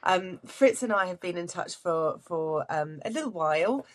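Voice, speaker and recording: A woman's voice: fairly low pitch, expressive, moderate pace. A woman hosting a podcast interview, friendly and chatty, with frequent ums. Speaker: podcast host.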